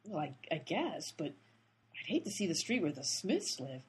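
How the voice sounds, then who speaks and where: A brisk, exasperated amateur sleuth, played by a woman in a radio comedy. Her voice is very breathy and her pitch leaps widely as she bosses people around. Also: sing-song, heavily acted. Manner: exasperated and bossy. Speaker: lead character, amateur sleuth. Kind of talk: comic radio play acting.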